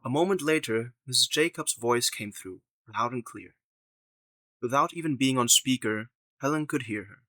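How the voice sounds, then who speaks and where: A man's voice: medium pitch, moderate pace, bright, forceful tone, no echo. A man narrating an audio drama in a scripted storytelling style.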